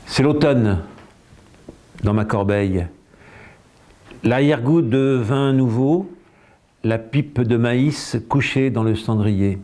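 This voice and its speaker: A man reading poetry aloud in a measured way, in short phrases separated by pauses. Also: medium pitch, mellow. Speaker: poetry reader. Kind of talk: quiet poetry recitation.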